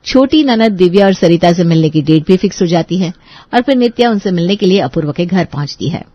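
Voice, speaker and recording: A woman's voice: lowish voice, lively intonation, fairly quick, no room sound, dull audio. A woman narrating a scripted Hindi family bedtime story.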